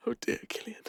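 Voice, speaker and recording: A man with an English accent playing a gentle, bashful lone ranger and former healer in an intimate audio roleplay, speaking hesitantly. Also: no echo, clean studio sound. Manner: hesitant, soft-hearted, halting. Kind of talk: intimate scripted audio roleplay addressed to the listener.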